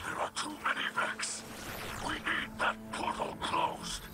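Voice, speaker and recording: A man voicing a grave, honorable alien leader in a game, gravelly, formal and halting. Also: very noisy, lightly acted. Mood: grave, urgent. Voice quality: gravelly, creaky. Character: grave, honorable male alien house leader under siege.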